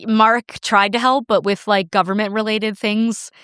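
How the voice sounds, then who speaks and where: A woman's voice: medium pitch, level tone, a little slow, fairly bright tone, clean tone. A young woman, a podcast guest, chatting casually with frequent laughs and restarts. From United States. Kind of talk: casual interview chat.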